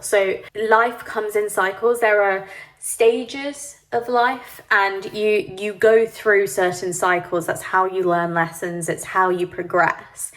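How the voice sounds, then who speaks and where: A woman giving a pick-a-card tarot reading, sniffly from hay fever, speaking gently and reassuringly to the viewer. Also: medium pitch. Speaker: tarot reader.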